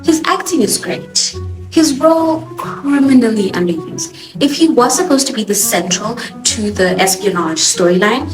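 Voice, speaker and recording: A woman's voice: a little high, moderate pace. Sassy young woman with Joburg accent. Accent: South African (Johannesburg). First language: Swahili. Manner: expressive, sassy, animated emphasis. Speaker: TV show reviewer, host. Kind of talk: casual opinionated review. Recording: echoey room with music behind.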